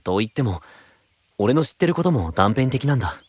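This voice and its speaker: An actor playing a calm, gentle, secretive young knight, speaking in a soft, measured, reflective way in an anime-style audio drama. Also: male voice, medium pitch, no echo. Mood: serious, pensive.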